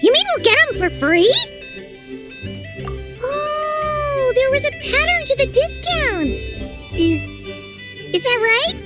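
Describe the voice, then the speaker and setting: A woman voicing a tiny excitable female companion sprite who speaks about herself by name, with game music behind. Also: extremely high pitch, slow, pauses often, heavily acted. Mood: excited. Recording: game audio with background music.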